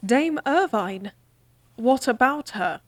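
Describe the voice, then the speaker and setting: A woman playing a candid, devoted lady-in-waiting in a fantasy audio drama, speaking formally with a gently teasing, coaxing manner. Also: sits high, lively intonation, takes it slowly, no echo, exaggerated voice. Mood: concerned, questioning.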